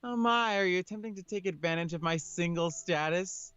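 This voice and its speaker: Guy doing a snooty teen schoolgirl from a visual novel, higher voice, sharp tongue, formal and cold. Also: fairly quick, smooth, no echo, dull audio. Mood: teasing. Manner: cutting, formal, condescending. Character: haughty, sharp-tongued teenage schoolgirl Alice.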